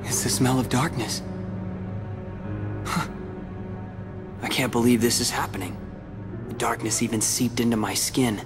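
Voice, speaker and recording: A man's voice: mid-range voice, fairly even intonation, measured pace, long pauses, in a large hall, over music, natural voice. A young man playing a brooding, wary teenage boy hero in a video game cutscene, terse and troubled. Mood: troubled, suspicious.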